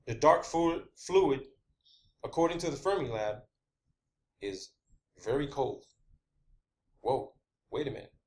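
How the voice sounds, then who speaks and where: A man presenting an unscripted online monologue, insistent and emphatic, swearing often and repeating himself to drive points home. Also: on the high side. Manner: rhetorical questions and repetition for emphasis. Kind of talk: unscripted conspiracy theory monologue.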